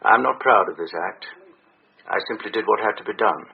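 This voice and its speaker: A cold, unrepentant old soldier in a radio play. His low voice dictates memoirs with measured, matter-of-fact gravity. It comes off a very thin, narrow tape playback. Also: creaky, slightly rough, full character voice. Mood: cold, resolute. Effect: played back from a tape. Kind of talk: solemn dictated autobiography in radio drama.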